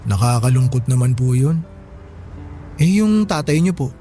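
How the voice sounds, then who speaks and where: A man playing a polite, kindly Filipino working man in a radio drama, gentle and respectful as he speaks to an elder. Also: mid-range voice, lively intonation, normal speed, lightly acted. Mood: gently curious. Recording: music behind the voice.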